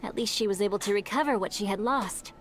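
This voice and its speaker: A woman voicing a gentle, bookish young noble boy in a video game, polite and eloquent. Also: mid-range voice, fast talker, a little hiss or hum, lightly acted. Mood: reassuring. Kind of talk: video game character dialogue. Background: faint music.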